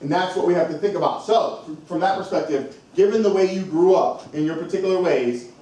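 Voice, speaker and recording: A man's voice: high voice, fairly quick, mellow. A man moderating a panel discussion, emphatic and encouraging as he draws out each speaker. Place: event hall with audience. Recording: echoey hall from far off, noisy.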